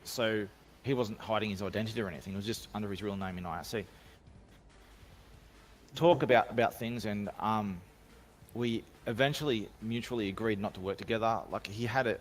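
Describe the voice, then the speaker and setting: A man's voice: fairly low pitch, fairly quick, slight background noise. A man with an Australian accent, talking casually as a guest on a remote call, rambling and self-assured with frequent restarts. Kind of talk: casual podcast storytelling. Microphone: home microphone, remote call.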